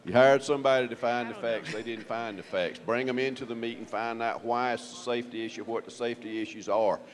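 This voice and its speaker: A man's voice: mid-range voice, fairly even intonation, brisk, crowd noise. Emphatic man laying out steps. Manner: emphatic, giving step-by-step advice. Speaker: workshop participant. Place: conference room.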